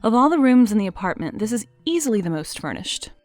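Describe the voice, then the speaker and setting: A woman reading scripted narration for an audio drama, in a lively, expressive way. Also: mid-range voice, fast.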